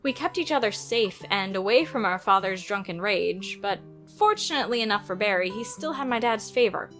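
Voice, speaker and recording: A woman's voice: medium pitch, a little fast, lightly acted. A woman playing a sardonic, defiant teenage girl archer who narrates and speaks in an audio drama, expressively. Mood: bitter, reflective. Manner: sarcastic, expressive. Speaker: narrator and lead character. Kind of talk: first-person audio drama narration and dialogue. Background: music.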